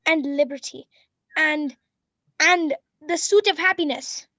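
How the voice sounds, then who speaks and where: A woman playing an earnest, hopeful young refugee child in a 1930s radio play, speaking halting, careful English. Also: sits high, a bit bright, no room sound. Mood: reciting carefully. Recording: dull, dry lo-fi radio recording.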